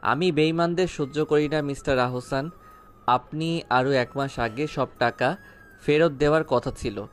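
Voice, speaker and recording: A man's voice: medium pitch, fairly bright tone, close to an everyday voice. A man playing a ruthless gangster moneylender in an audio story, cold and taunting with a hard edge. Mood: cold, threatening. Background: background music.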